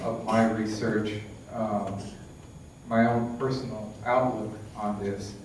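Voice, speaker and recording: A man's voice: medium pitch, a little mellow. A man lecturing to an audience and talking it through casually, full of fillers and restarts. He sounds far from the mic in a very echoey hall. A faint hiss sits under his voice. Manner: conversational, many fillers and restarts. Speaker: lecturer, book author. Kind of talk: conference lecture.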